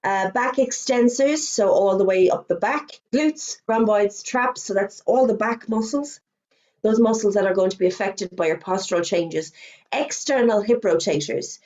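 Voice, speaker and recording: A woman's voice: mid-range voice, a little mellow. Irish woman with a fading voice. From Ireland. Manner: chatty, quick asides and self-corrections. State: losing her voice. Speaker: fitness instructor. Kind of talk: webinar presentation.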